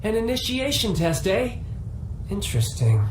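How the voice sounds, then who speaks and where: A man playing a cocky, smooth young game character with a theatrical, smug, polished delivery. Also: high-pitched, big pitch swings, moderate pace, reverberant, a little hiss or hum, rough recording. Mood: confident, amused. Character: cocky, smooth young male agent posing as salesman.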